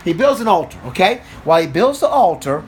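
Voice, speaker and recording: A man, a preacher and father, telling an improvised Bible story to a child in an animated, interactive way. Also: sits high, fairly quick. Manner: animated, interactive, checks on listener with questions. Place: small office in a house. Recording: noisy amateur home recording.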